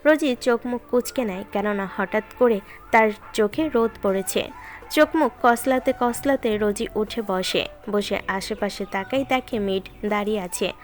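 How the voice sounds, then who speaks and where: A woman's voice: medium pitch, normal speed. A woman giving scripted narration of a romantic story. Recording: music behind the voice.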